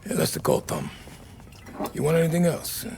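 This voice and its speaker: An actor playing a middle-aged, gruff but kindly Italian father in a game, speaking halting English with an Italian accent. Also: a little high, wildly swooping pitch, background noise, exaggerated voice. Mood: friendly, hesitant. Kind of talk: dramatic video game acting.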